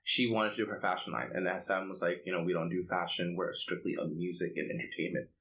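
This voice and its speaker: A man's voice: low-pitched, little pitch movement, fast talker, creaky, a bit bright, rough recording. A man co-hosting a podcast, chatting casually and giving opinions with lots of "like" filler. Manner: conversational, opinionated, peppers speech with "like". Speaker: podcast co-host. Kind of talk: casual K-pop podcast chat.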